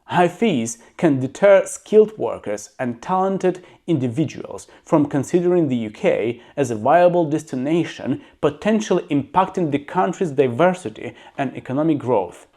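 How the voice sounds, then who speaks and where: A man with a slightly high voice, speaking English as a second language. He reads facts in an even way, then gives his own blunt, opinionated commentary in a plain-spoken manner. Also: normal speed, hardly any pauses. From abroad, living in the UK. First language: not English. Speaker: YouTuber. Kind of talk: reading facts with personal commentary.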